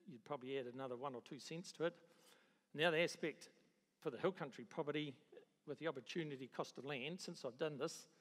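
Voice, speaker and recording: Halting New Zealand man in a hall. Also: medium pitch, a bit bright. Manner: halting, many restarts and fillers, dry understatement. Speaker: agricultural economist presenting at a conference. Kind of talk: conference presentation. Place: large conference hall. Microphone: handheld microphone. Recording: reverberant conference hall.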